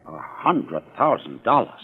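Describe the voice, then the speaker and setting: A man's voice: fairly low pitch, big pitch swings, measured pace, slightly rough, exaggerated voice. An actor playing an indignant male crooked businessman who is being blackmailed, in old-time radio crime drama style. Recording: very noisy, muffled old radio recording.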